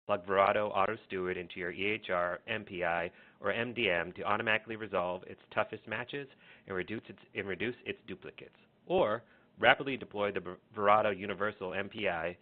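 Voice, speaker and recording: Formal male webinar moderator, phone-like audio. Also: low voice. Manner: reads announcements in a steady formal tone. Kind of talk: scripted introduction. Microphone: online webinar connection.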